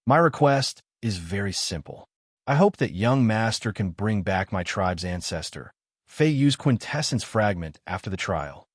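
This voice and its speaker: A man voicing a young slave girl of a nonhuman clan in a fantasy audiobook, formal, deferential and quietly confident. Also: fairly low pitch, expressive, unhurried, no echo, clean studio sound. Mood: earnest, confident.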